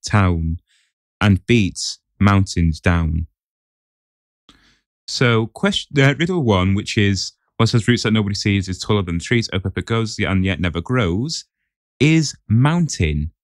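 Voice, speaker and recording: A man's voice: fairly low pitch, very animated, slow, no room sound, clean studio sound. A man on a podcast setting a quiz, chatting casually and reading riddles aloud.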